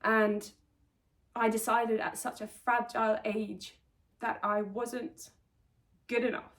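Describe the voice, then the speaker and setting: A woman's voice: a little high, level tone, fairly bright tone. Earnest woman speaking a little off-mic. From Sweden. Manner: earnest and reflective, with halting restarts. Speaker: vlogger. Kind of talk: personal confessional storytelling. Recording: voice slightly distant from the mic.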